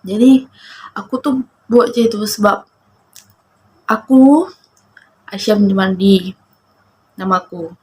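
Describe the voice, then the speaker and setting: A woman's voice: medium pitch, takes it slowly, long pauses, darkish tone. Chatty young Malaysian woman vlogging. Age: 20s. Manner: chatty, rambling, distracted, laughing at her cat. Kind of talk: casual chat to camera.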